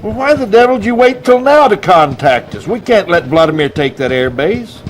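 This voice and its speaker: An actor playing a gruff, blustery middle-aged army general in a video game cutscene, blunt and folksy in manner. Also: male voice, high voice, very animated, moderate pace. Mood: exasperated.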